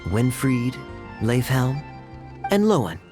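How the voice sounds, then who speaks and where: A man's voice: medium pitch, very animated, slow pace. A man voicing a wry, composed knight in a video game, with a sly, teasing, theatrical manner. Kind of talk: video game character acting. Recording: studio voice over faint game music.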